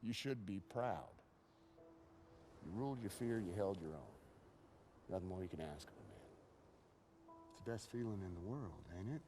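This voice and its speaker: A man's voice: low voice, animated, moderate pace, long pauses, dark tone, faint music behind. Hardened American army man. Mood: reflective, steady. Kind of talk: video game cutscene acting.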